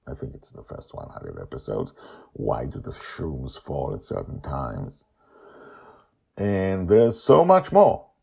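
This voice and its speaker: A man with an extremely deep, husky voice hosts and tells a story. His pitch swings widely from low rumbles to emphatic peaks. He pauses for drama and slips easily into casual asides. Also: warm tone. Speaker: storyteller, podcast host.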